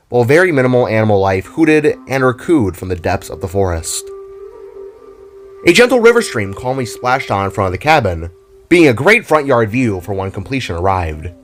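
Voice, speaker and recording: A man narrating a scripted dramatic story in a theatrical, storybook manner. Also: fairly low pitch. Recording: music under the voice. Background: background music.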